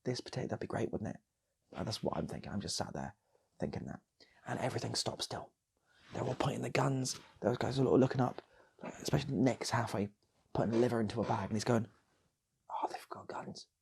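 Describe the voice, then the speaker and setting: A man's voice: mid-range voice, quick pace, vocal fry, a little mellow, husky. A man improvising a comic story as a host, rambling, repeating phrases and slipping into acting out characters. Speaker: storytelling host of an online channel.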